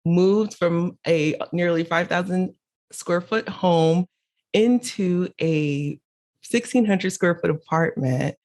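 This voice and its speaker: A woman with a low voice, middle-aged, speaks as a guest on an interview podcast. She tells her own story candidly, with laughs here and there. She was born in Haiti and raised in Miami. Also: slow pace, darkish tone.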